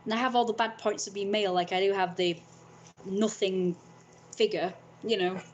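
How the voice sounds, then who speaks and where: A young woman talks straight to camera in a loose, rambling way, blunt and unfiltered, swearing freely. Her voice sits in an ordinary middle pitch. A faint hum runs under the recording. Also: fairly even intonation, normal speed, muffled recording. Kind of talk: casual vlog monologue.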